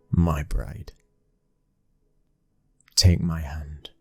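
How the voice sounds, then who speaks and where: British guy doing a bossy menacing young mafia son, asmr roleplay, really deep n monotone. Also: no room sound, heavily acted. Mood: impatient, resolute. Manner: terse, domineering, menacing. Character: cold, commanding twenty-one-year-old mafia boss's son.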